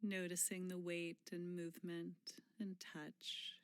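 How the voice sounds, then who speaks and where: Woman, meditation guide, very slow pace, gentle delivery, soft breathy phrase endings, long pauses, dry close sound. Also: fairly low pitch, little pitch movement, dry recording.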